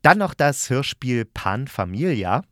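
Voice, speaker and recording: A man's voice: mid-range voice, sing-song, unhurried, dry recording. Chatty man reviewing, dry close sound. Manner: chatty, thinking aloud, self-correcting. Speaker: host of an audio drama review show. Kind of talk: casual spoken review to listeners.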